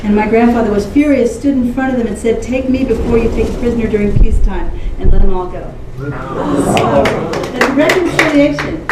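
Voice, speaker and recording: A woman's voice: a little high, lively intonation, fairly quick, hardly any pauses. A middle-aged or older woman, a guest author, speaking informally to a live audience. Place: indoor museum event room with audience. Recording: echoey, noisy room, distant microphone.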